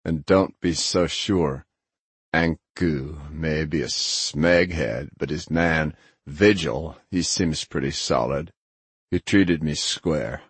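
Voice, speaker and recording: A man voicing a gruff, sardonic, middle-aged male hacker in an audiobook, with a rumbling, blunt, needling delivery. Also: slow pace, dry recording, exaggerated voice. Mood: grudging.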